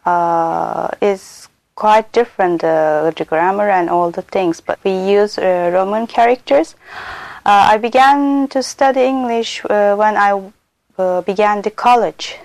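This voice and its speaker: A young woman from Turkey, a native Turkish speaker with a Turkish accent, talking unscripted about herself as a doctor and doctoral student for a listening exercise, pausing often and filling gaps with "uh". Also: mid-range voice, creaky, darkish tone, dry recording. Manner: hesitant, frequent pauses and "uh" fillers.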